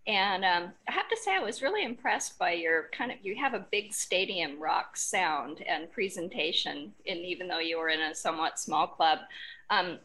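A woman's voice: lowish voice, expressive, normal speed, pushed and bright, poor audio. A woman hosting an interview in a conversational style. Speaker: host. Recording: noticeable background noise.

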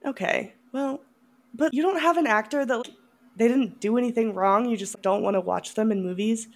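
A woman's voice: fairly high pitch, moderate pace. A woman co-hosting a podcast in casual film chat, talking in a blunt, opinionated, giggly way. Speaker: podcast co-host.

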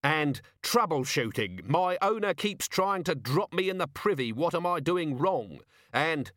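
A man's voice: mid-range voice, animated, moderate pace, fairly bright tone, no echo, clean studio sound, natural voice. A man voicing a weary, irritable police commander with an exasperated, sardonic manner in a storybook reading.

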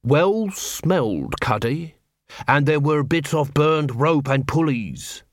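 A man voicing a weary, sardonic middle-aged captain of the city watch, speaking drily and unhurried. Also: on the high side, lively intonation, no echo. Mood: dry. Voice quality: dry, world-weary.

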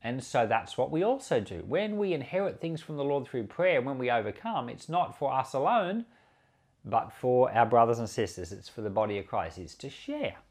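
Man preaching with lively, swooping pitch. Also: normal speed. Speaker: pastor and podcast host. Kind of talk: scripture reading and informal sermon commentary.

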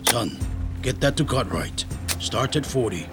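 A man's voice: mid-range voice, lively intonation, a little slow, loud music behind the voice, natural voice. An actor playing a curt, wary fisherman father, speaking in terse, clipped phrases. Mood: curt, guarded. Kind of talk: dramatic film dialogue reading.

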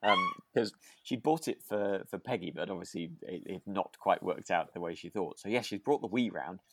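A man co-hosting a podcast, recounting a drama in casual, halting chat. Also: low voice, animated, vocal fry, background noise. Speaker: podcast co-host.

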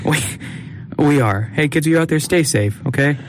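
A man's voice: fairly high pitch, normal speed, slight background noise. A young man co-hosting a podcast, rambling and joking, with frequent laughs, on a studio mic in a small room. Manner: rambling, jokey, laughs often. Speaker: podcast co-host. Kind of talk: casual chat.

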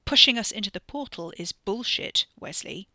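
A woman's voice: mid-range voice, brisk, pushed and bright, dry recording, studio quality, natural voice. A woman voicing a tough, sardonic young British man with a blunt, sweary manner. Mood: menacing.

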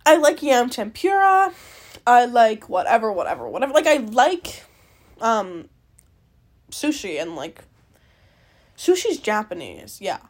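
A woman's voice: fairly high pitch, very animated, unhurried, pauses often. A young woman from Canada hosting a podcast alone, rambling casually with many fillers and some swearing. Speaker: podcast host. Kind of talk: casual solo chat.

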